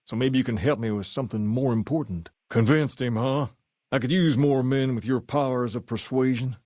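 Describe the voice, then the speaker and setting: A man voices a self-assured American street gang leader for a video game. He is smooth and folksy, with an easygoing confidence and a mid-range voice, recorded close and dry. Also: mid-range voice, normal speed, darkish tone, no echo, lightly acted. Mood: relaxed, businesslike.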